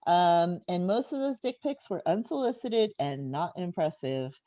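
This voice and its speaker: A woman's voice: on the low side, lively intonation, normal speed, mellow. A woman in her fifties performing stand-up comedy, talking conversationally to the audience and often chuckling at her own jokes. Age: 50s. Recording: dull, echoey live venue recording.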